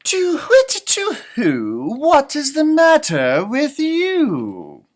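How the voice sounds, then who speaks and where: A wise old owl from a children's storybook, performed by a man reading aloud. He hoots out his advice slowly and deliberately in a raised, swooping voice, drawing out his words as he ponders. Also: very high-pitched, huge pitch swings, pitched above the performer's own voice, full character voice. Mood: hooting, inquiring. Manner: slow, drawn-out, hooting, deliberate.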